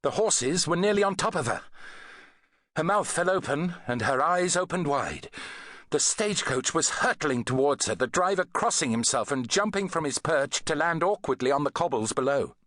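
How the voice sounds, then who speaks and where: A man reading an adventure novel as an audiobook narrator, in an expressive, dramatic storytelling manner. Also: fairly high pitch, moderate pace, dry recording.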